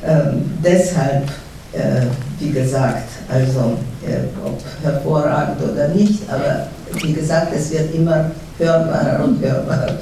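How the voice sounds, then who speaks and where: A middle-aged woman from Russia speaking with a Russian accent, a guest author at a panel talk who pauses often with fillers and restarts. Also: sits low, steady tone, gravelly, dark tone. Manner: halting, many fillers and restarts. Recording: echoey hall from far off, faint noise.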